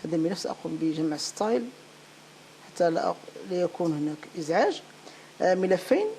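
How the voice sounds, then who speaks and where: A woman with a lowish, mellow voice teaches a software tutorial step by step. She speaks off the cuff, haltingly, often repeating a phrase while looking for the next word. There is some noise behind her on a home-made recording. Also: poor audio. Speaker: programming tutorial instructor. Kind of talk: unscripted step-by-step screencast tutorial.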